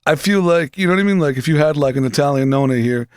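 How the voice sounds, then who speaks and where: A man's voice: fairly high pitch, fast, clear, resonant tone, no room sound. A man, chatting loosely on a podcast into a close studio mic, profane and full of fillers. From New York City. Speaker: podcast co-host. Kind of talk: casual chat. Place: small studio room, indoors.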